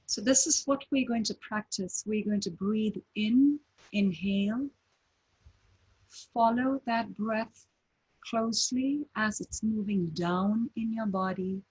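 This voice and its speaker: A woman leads a breathing meditation at a very slow, unhurried pace. Her voice is airy and breathy, far from crisp. She lets each short phrase settle into a long pause. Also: medium pitch, darkish tone. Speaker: meditation teacher.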